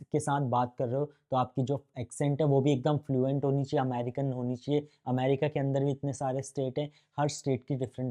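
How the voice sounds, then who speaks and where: A man's voice: medium pitch, warm tone. A man from India giving a casual freelancing lesson, chatty and speaking straight to the viewer, slipping English phrases into his talk. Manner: chatty, mixes English phrases into Hindi, addresses viewer directly. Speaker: freelancing course instructor. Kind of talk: casual tutorial talk to camera.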